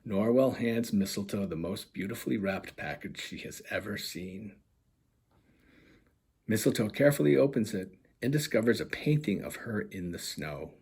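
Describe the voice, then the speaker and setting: A man, an author reading his children's picture book aloud in a husky voice. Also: on the low side, lively intonation, moderate pace, darkish tone. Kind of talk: children's storytime narration.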